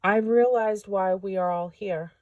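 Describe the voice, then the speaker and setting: A woman voicing a gentle, wondering young boy from a storybook, sincere and tender. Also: mid-range voice, unhurried, no echo, phone-quality sound, close to an everyday voice.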